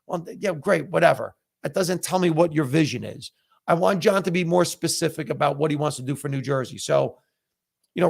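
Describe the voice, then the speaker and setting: A man's voice: a little high, gravelly. A middle-aged man whose first language is Italian, hosting a live podcast and giving candid opinions in a casual, conversational way with plenty of fillers. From United States. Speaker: comedian and podcast host. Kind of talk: casual live-stream chat.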